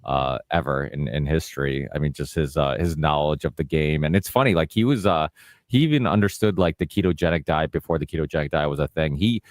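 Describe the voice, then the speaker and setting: Very deep-voiced Portuguese-born host. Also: male voice, fairly quick, no echo, studio quality. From northern Portugal. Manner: easygoing, chatty, many fillers. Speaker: podcast host. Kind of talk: casual interview chat. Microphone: video call.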